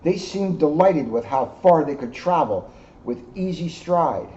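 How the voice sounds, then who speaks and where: A man in his sixties, a police officer reading aloud to children and commenting informally in an earnest, expressive way. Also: a little high, measured pace. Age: 60s. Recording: muffled, noisy amateur video sound.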